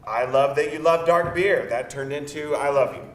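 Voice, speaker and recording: A man's voice: normal speed, close to an everyday voice. A man playing a blunt, easygoing boyfriend with casual, bantering delivery in a screenplay read. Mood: teasing. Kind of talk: conversational screenplay table read. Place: live table read in a roomy indoor space. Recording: distant mic, echoey room, rumble.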